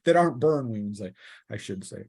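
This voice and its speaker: A man narrating a tabletop role-playing game as game master, improvising in a conversational, halting way. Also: lowish voice, animated, vocal fry, darkish tone, breathy edge.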